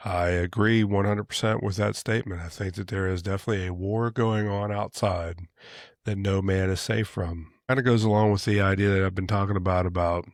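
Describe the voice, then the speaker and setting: A man's voice: sits low, steady tone, a little fast, no room sound, clean studio sound. A man hosting a casual, earnest monologue show, talking in a conversational way with frequent restarts. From United States. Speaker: podcast host.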